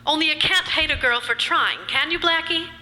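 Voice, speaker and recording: Woman acting a sharp, pleading, cornered woman. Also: sits high, moderate pace. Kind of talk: old-time radio drama acting. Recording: reverberant hall, faint background noise.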